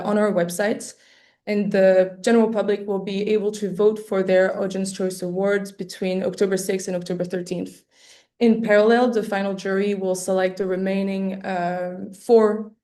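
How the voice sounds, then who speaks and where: A woman with a mellow, slightly hoarse voice, losing it as she talks. She hosts a webinar over a computer mic, hesitating on fillers and restarting phrases. She keeps a practical, explaining tone. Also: mid-range voice. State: losing her voice, clearing her throat often. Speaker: webinar presenter for an arts award. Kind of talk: explaining an application process. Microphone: computer mic on a video call.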